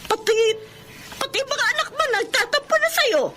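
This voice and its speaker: A woman's voice: very high-pitched, animated, moderate pace, bright, forceful tone, a little hiss or hum, exaggerated voice. A woman playing a protective, exasperated middle-aged elder sister in a Filipino radio drama, scolding emphatically. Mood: exasperated, urgent.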